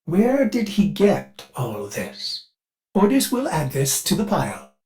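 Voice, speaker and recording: A man's voice: sits high, huge pitch swings, takes it slowly, full character voice. An actor playing a fussy, devoted male ship AI in a video game, eager and anxious, with a polite, theatrical manner. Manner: polite, theatrical, refers to self in third person. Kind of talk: video game companion dialogue.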